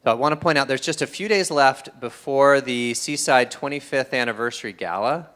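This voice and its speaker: A man reads the announcements at a church service in a friendly way, slipping in small jokes. His voice is smooth and clear at a middle pitch. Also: a little fast, a bit bright. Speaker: announcements host at a spiritual center.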